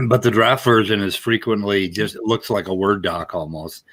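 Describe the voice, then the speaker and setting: A man's voice: lowish voice, fairly even intonation, normal speed, a bit bright. A man hosting a live stream, commenting on a debate clip in a blunt, opinionated way. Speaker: host.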